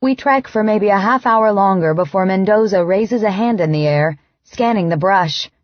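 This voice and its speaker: A woman reading first-person fiction as an audiobook narrator, in an expressive, dramatized way. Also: mid-range voice, normal speed, no room sound, dull audio.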